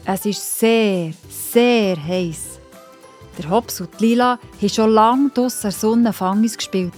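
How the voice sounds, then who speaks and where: A woman narrates a children's story in a Swiss German accent. Her voice sits at a medium pitch, and music plays softly behind her scripted telling. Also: unhurried.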